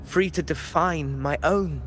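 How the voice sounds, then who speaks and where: A man's voice: on the high side, slow, fairly bright tone, slight background noise. An actor playing a noble, resolute young male swordsman in a video game, speaking formally and earnestly. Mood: earnest resolve. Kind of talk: dramatic video game voice acting.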